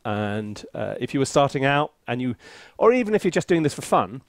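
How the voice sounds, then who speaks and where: A man chatting casually as he hosts a software review, with an animated, theatrical and self-mocking manner. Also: mid-range voice, brisk, no echo. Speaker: composer and reviewer, video host.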